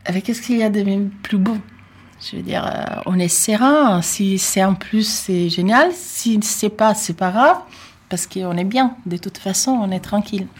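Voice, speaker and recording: A middle-aged woman with an Italian accent talks at length in an interview, her voice sitting in a mid range. She is chatty and reflective, pausing often with fillers. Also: mid-range voice, breathy edge. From Italy. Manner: talkative, reflective, with frequent hesitations and soft laughs. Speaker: interview guest. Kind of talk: personal conversational interview.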